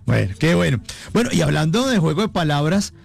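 A man's voice: fairly high pitch, sing-song, fairly quick, creaky, soft background music. A man with a Colombian accent hosting a conversational radio interview, appreciative and affirming toward his guest. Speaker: radio show host.

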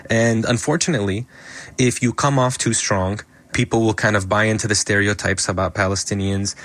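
An American man speaks as a physician being interviewed. His pitch is slightly low, and the recording is close and dry. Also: fairly even intonation, a little fast, a bit bright, no echo. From United States. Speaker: interview guest, emergency physician and advocate. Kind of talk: spoken interview answers.

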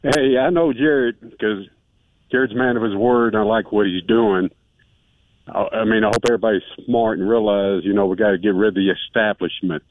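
A man's voice: lowish voice, steady tone, fairly quick, dry recording. A man calling in to a talk radio show, folksy and repetitive. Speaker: caller. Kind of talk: phone-in call. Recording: tinny phone line.

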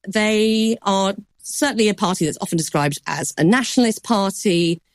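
A woman news correspondent giving a measured explanation on a news program, softening points with qualifiers. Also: mid-range voice, no room sound, clean studio sound.

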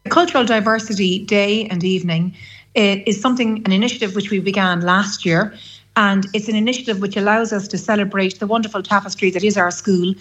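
A woman's voice: medium pitch, fairly even intonation, fairly quick, fairly bright tone. A woman, a deputy school principal, giving earnest, formal interview answers. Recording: slightly dull, band-limited interview audio.

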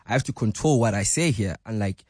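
A man's voice: on the low side, animated, fairly quick, darkish tone, husky, no echo, studio quality. A man with a South African accent, a community radio presenter, talking hesitantly with many fillers. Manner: hesitant, many "uh" and "you know", laughs. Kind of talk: casual chat.

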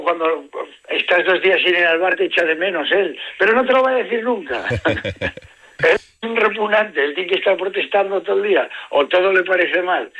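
A man with an Asturian Spanish accent, a comedian guest telling stories in a relaxed interview, laughing often and shifting between slow, stretched phrases and quick bursts. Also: fairly high pitch, lo-fi sound. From Asturias, Spain. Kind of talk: casual interview chat.

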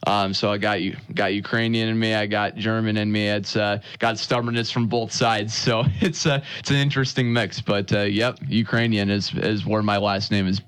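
A man's voice: fairly low pitch, little pitch movement, normal speed, hardly any pauses, a bit bright, smooth. A young man, a new sports broadcaster, talking earnestly as a radio interview guest, with lots of fillers and restarts.

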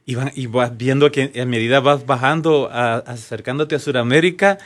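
A Honduran man speaks on talk radio in a mid-pitched voice. His delivery is conversational and measured, like a legal adviser laying things out for listeners. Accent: Honduran Spanish.

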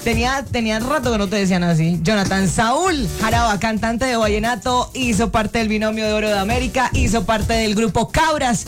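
Host: playful woman presenter, clear music under her voice. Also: on the high side, brisk, hardly any pauses. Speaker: radio host. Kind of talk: radio talk show.

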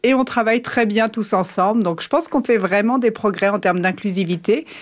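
A woman's voice: medium pitch, a little fast. A woman answering interview questions in a radio studio, fluent and upbeat. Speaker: community centre president, guest.

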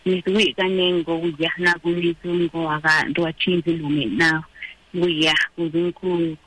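A woman co-hosting a community radio show, a native Triqui speaker chatting in a relaxed, friendly way with many fillers. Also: on the low side, unhurried, slightly rough, rough recording. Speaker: radio co-host. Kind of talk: casual radio chat.

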